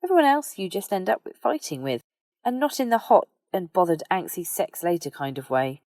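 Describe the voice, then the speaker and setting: A woman's voice: fairly low pitch, big pitch swings, fairly quick, warm tone, dry recording, studio quality. A woman voicing a frank, nosy, caring young witch in an audiobook, talking informally, both hesitant and blunt. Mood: frank concern. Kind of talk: audiobook character dialogue.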